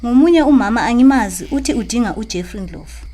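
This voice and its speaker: A woman's voice: a little high, expressive, quick pace, mellow, a little hiss or hum, natural voice. A woman with a Zimbabwean accent playing a married man's suspicious, guarded younger girlfriend in a radio drama. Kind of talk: radio drama acting in Ndebele.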